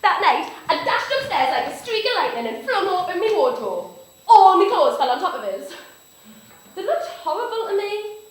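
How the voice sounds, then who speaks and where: A woman playing an excitable, naive young girl with a North East English accent, telling her story as a vivid dramatic monologue. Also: very high-pitched, moderate pace. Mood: excited. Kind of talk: dramatic first-person monologue performance. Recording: echoey, distant room recording with noise.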